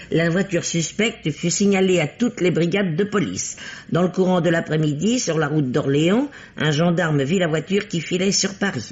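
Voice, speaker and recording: A man's voice: fairly high pitch, natural voice. An actor playing a shrewd, bossy amateur detective who teases his partner, in theatrical, explanatory 1950s French radio mystery style. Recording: dull vintage radio recording.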